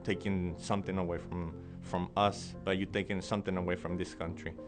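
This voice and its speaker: A man in his twenties, giving a slow, deliberate testimony in a documentary interview. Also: low voice, flat delivery. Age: 20s. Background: music.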